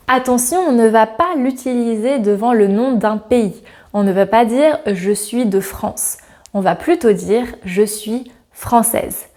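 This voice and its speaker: A woman's voice: a little high, a little mellow. Upbeat woman teaching a grammar lesson. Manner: friendly, clear, addresses the viewer directly. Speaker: French teacher. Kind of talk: scripted grammar lesson to camera.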